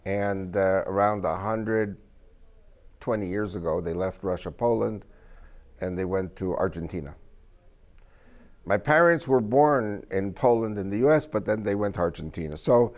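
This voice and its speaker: A man's voice: fairly low pitch, expressive, normal speed. An older man with Spanish as his first language, giving an informal, rambling talk to a small group as guest speaker and joking as he goes. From United States.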